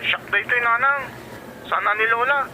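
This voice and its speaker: A man's voice: extremely high pitch, normal speed, bright, forceful tone, a little hiss or hum, exaggerated voice, rough recording. A man playing a spoiled, wheedling teenage student in a radio drama, whiny and pleading, with a Filipino accent.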